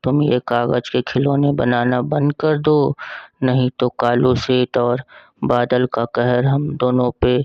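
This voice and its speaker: Man voicing a slow, worried husband bird. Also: medium pitch, flat delivery, creaky, no echo, dull audio. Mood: worried pleading. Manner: anxious, pleading. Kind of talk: cartoon voice acting.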